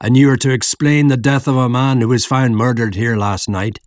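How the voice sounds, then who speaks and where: A man's voice: medium pitch, fairly even intonation, moderate pace, no room sound, studio quality, lightly acted. A man voicing a stern, rude, suspicious townsman in an audiobook, curt and accusing.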